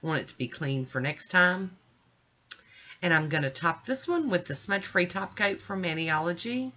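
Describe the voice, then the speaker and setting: Casting: American woman, middle-aged, bright forward voice, informal folksy tutorial narration, slightly muffled home recording. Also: fairly low pitch, moderate pace. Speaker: nail art vlogger. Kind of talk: casual tutorial voice-over.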